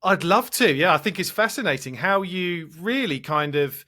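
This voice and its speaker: A man's voice: sits high, lively intonation, moderate pace, pushed and bright. A man hosting a podcast interview, enthusiastic and asking long, rambling questions. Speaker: podcast host.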